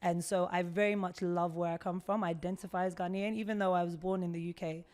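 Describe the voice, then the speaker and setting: Woman, fairly low voice, from the UK, interview guest, entrepreneur, animated, chatty. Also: quick pace. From United Kingdom. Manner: animated and talkative, storytelling. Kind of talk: casual interview talk.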